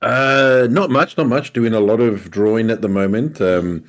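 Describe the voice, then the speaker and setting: A man co-hosting a podcast in casual chat, with a deep, booming voice and an easygoing manner. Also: moderate pace. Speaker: podcast co-host.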